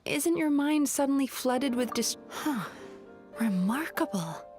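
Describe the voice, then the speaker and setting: A woman voicing a polite, gentle young dream-eating yokai in a video game, in a conversational manner. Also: high voice, animated, a little slow. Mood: puzzled. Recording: faint game music behind the voice.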